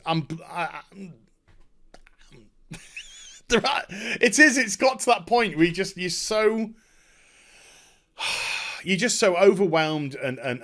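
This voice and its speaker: A man chatting off the cuff about a video game as a streamer, effusive and overwhelmed, often halting, repeating himself and trailing off. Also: mid-range voice, a bit bright. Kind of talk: unscripted rambling game review chat.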